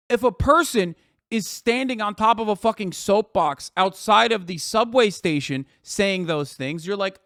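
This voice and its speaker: A man live streaming, reacting to tweets and lecturing his chat in a profane, animated way full of rhetorical "okay?" asides. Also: high voice, dry recording, studio quality.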